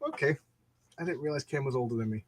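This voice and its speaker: A man's voice: medium pitch, fast talker. A man in his fifties hosting a casual live chat, rambling through anecdotes in a jokey, self-deprecating way. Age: 50s. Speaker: live stream host chatting about comics.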